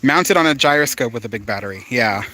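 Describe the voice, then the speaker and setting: An easygoing man with a Southern Californian accent chats through a live stream from an outdoor park. His pitch sits in a middle range for a man, and his delivery is loose and conversational. He reads viewer comments aloud and riffs on each one. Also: expressive, fast, sharp tone. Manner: easygoing, chatty, with frequent fillers and restarts.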